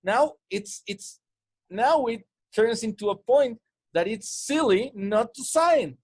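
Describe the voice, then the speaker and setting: A man's voice: very high voice, lively intonation, slow pace, breathy edge, dry recording, studio quality. A man from Mexico with a Spanish-tinged English accent, coaching on sales in casual chat, often restarting and repeating words. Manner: repetitive restarts, stumbling over words.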